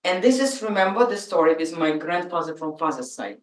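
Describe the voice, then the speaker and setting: A woman with a Ukrainian accent, a native Russian speaker, giving an informal, halting and personal lecture as an artist. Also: lowish voice, animated. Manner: halting, personal, emotional at times. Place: lecture room. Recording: roomy, from far off.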